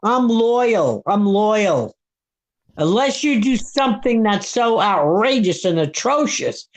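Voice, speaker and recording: A woman's voice: mid-range voice, big pitch swings, slow. Older brooklyn lady in her 70s, blunt and heated, keeps repeating herself. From Brooklyn, New York. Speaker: live stream guest. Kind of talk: casual chat.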